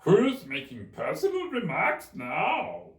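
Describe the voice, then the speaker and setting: Loud, high, rude mad hatter. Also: male voice, huge pitch swings, takes it slowly, gravelly, reverberant, full character voice. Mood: triumphant. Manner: interrupting, blunt, insulting. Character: rude, triumphant, pushy mad male hatter at tea.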